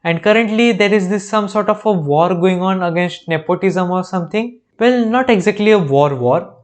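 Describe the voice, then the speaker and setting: A man's voice: sits high, normal speed. A young man with an Indian accent, recapping a TV show with sarcastic, jokey asides. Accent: Indian English. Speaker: reaction video host. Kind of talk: comedic recap narration.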